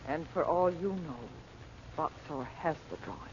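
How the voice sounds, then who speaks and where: An actress playing a practical, concerned woman in an old radio serial, briskly chiding and questioning. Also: low voice, natural voice. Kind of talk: 1940s radio serial acting. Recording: muffled, noisy old radio recording.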